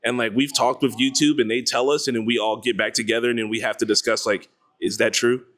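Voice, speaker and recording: A man who makes online videos, talking in a quick, conversational way on a panel. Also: medium pitch, flat delivery, a bit bright. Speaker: content creator, panel guest. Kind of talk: casual panel chat.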